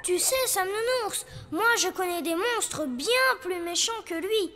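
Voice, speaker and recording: A woman's voice: very high voice, unhurried. A woman voicing a plucky, earnest little boy superhero in a cartoon, childlike and kind. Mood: plucky, scheming. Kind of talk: cartoon voice acting.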